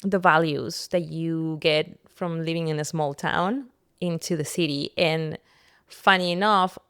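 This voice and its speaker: Smooth-voiced woman, lower pitched. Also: fairly even intonation, measured pace, fairly bright tone, no echo, studio quality. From Peru. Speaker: podcast guest, entrepreneur and content creator. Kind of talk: personal storytelling in casual conversation.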